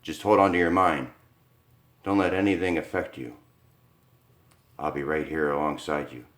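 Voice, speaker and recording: A man voicing a young husband who talks in a low, soothing, reassuring way. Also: moderate pace, fairly bright tone. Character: steady young husband trying to stay calm.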